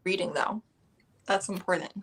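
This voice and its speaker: A woman with a medium-pitched voice talks as a guest in a relaxed book chat. Her phrasing is very informal, loose and conversational, full of "like". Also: normal speed. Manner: informal, peppered with "like". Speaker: guest on a book readalong livestream. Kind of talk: casual chat.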